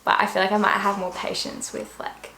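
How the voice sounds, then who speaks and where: A young Australian woman chats through viewer questions in an easy, rambling way, with plenty of fillers and trailing asides. Her voice sits at a middle pitch. The room is echoey, with faint noise underneath. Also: little pitch movement, a little fast. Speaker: YouTuber. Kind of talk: casual Q&A vlog.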